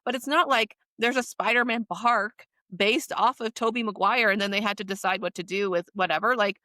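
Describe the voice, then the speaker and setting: An American woman with a bright, forward voice talks casually as a podcast co-host. She is animated and emphatic, and the sound is dry and close. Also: mid-range voice, a little fast, dry recording. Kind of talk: casual chat.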